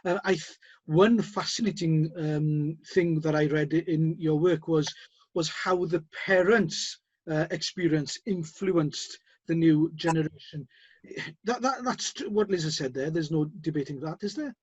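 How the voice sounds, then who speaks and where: A man with a slightly high, husky voice hosts a discussion, nudging his guests along with hesitant, filler-laden questions. His voice comes through dry and a little dull, with no room around it. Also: measured pace, no room sound. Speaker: host. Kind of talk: interview discussion.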